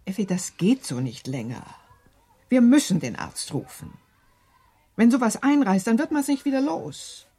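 Middle-aged mother in radio drama: husky, mellow tone, knowing, chatty and mildly reproving. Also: on the high side, big pitch swings, measured pace, full character voice. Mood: insistent. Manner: matter-of-fact, gently scolding. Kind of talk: German radio play acting.

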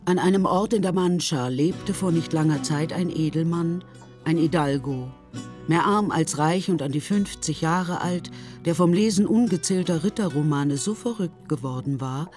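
A man's voice: a little high, normal speed, darkish tone, faint music behind. A man narrating a literary radio play in a scripted storytelling style.

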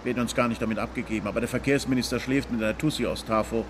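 An actor playing a bullying, ambitious police inspector in a radio drama, condescending, brusque and blunt. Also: male voice, medium pitch, steady tone, rapid-fire, background noise, close to an everyday voice. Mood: boastful, brusque.

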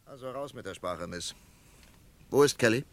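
An actor playing a confident, commanding FBI captain in an old radio crime drama. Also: male voice, medium pitch, expressive, close to an everyday voice. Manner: commanding, brisk. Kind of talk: vintage radio drama acting.

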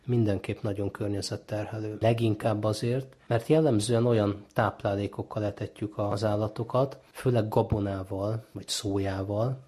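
A man's voice: lowish voice, steady tone, few pauses, dark tone. A man explaining as an expert interview guest, in a didactic, emphatic manner. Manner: didactic, emphatic, poses questions and answers them. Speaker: ecologist and university lecturer as interview guest.

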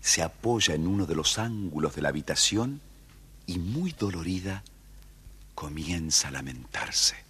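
Lowish-voiced male children's storyteller. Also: expressive, measured pace, noisy background. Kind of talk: scripted children's story narration.

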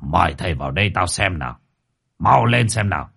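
A man's voice: low-pitched, expressive, brisk, gravelly, pushed and bright, slightly rough, pitched below the performer's own voice, full character voice. A man voicing a tyrannical, hot-tempered father and master, snapping orders in a spoken story. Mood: impatient. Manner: snarling, commanding.

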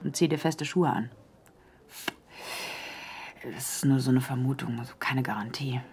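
An actress playing a resourceful, determined young woman journalist in a German radio drama, brisk and matter-of-fact. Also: extremely low pitch, darkish tone, a little hiss or hum. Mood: secretive, determined.

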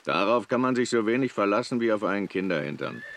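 An actor playing a sour, grumbling gentleman passenger in a radio play. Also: lowish voice, expressive, fast, vocal fry, slight background noise.

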